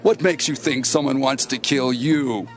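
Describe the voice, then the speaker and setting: A man's voice: mid-range voice, steady tone, unhurried, faint music behind, natural voice. A man playing a dismissive, incredulous heckler in an audio Bible drama.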